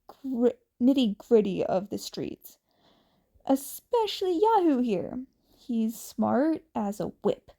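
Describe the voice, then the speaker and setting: A woman's voice: a little high, warm tone, exaggerated voice. A woman voicing a breezy, casual young male winged superhero in a story, with slangy, teasing talk.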